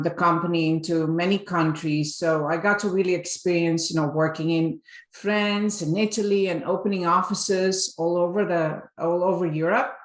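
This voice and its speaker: Belgian-born woman guest, lower voice, lots of um and restarts, sounds roomy and echoey. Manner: chatty storyteller with frequent fillers and restarts. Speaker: guest, marketing executive. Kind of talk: casual interview talk.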